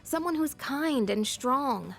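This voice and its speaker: A woman voicing a friendly, earnest, slightly shy teenage schoolgirl in a video game, soft-hearted and reflective. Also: high voice, lively intonation, slow pace, creaky, heavily acted. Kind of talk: English video game voice acting. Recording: background music under the voice.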